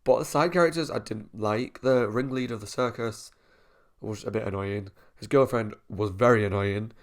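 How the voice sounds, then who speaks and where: Gravelly man reviewing casually. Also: lowish voice, moderate pace. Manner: chatty, thinks aloud while weighing opinions. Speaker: YouTube reviewer.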